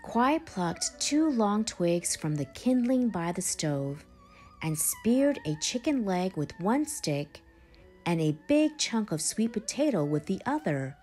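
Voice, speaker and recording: A woman reading a children's picture book aloud in a measured, animated storytelling manner. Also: medium pitch. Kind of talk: expressive storybook read-aloud. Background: background music.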